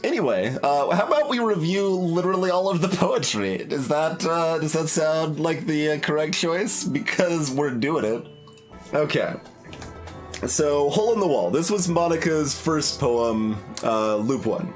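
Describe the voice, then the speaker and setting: A man's voice: fairly high pitch, lively intonation, a little slow, faint music behind. A man giving casual game commentary, rambling and tentative as he thinks aloud. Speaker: let's play streamer.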